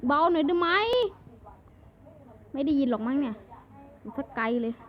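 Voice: high-pitched, moderate pace. A video creator improvising Minecraft roleplay commentary, muttering to themselves and breaking into exclamations. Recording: muffled lo-fi mic, background voices.

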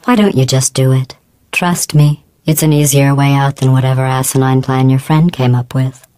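A sinister female computer intelligence from a video game. Her voice is low, smooth and robotic, recorded very dry. She speaks with measured precision and passive-aggressive, deadpan sarcasm. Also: dry recording. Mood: sardonic, menacing. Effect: robotic synthetic voice processing. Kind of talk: scripted video game character acting.

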